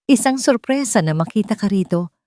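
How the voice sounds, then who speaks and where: A woman's voice: medium pitch, expressive, fairly quick, warm tone, no room sound, clean studio sound, pitched above the performer's own voice, natural voice. A woman with a Filipino accent voicing a smug older male tycoon villain in an audiobook, falsely polite and menacing. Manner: falsely polite, veiled threats.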